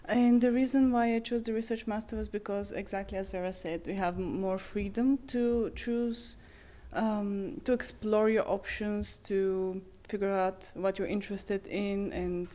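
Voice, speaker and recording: A woman's voice: mid-range voice, steady tone, measured pace, mellow. A woman in her twenties from Bulgaria, a student giving an informative presentation to an audience. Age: 20s. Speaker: research master's student.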